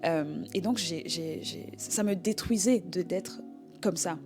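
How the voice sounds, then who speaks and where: Woman with lowish voice, haltingly sharing testimony. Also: music in the background. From Congo. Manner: halting, searching for words, repeating herself. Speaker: guest giving a testimony.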